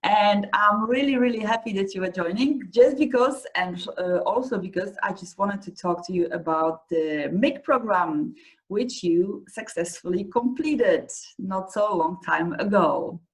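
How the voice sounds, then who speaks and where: A woman hosting an interview, asking relaxed questions in an informal way with a few fillers. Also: mid-range voice, a little slow, poor audio. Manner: friendly, informal, uses fillers. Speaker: interviewer, program host.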